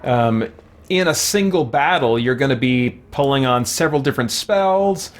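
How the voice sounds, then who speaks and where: A man's voice: mid-range voice, animated, moderate pace. A man hosting a let's play with casual, conversational commentary, musing and easily amused. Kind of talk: casual gaming commentary with chat reading.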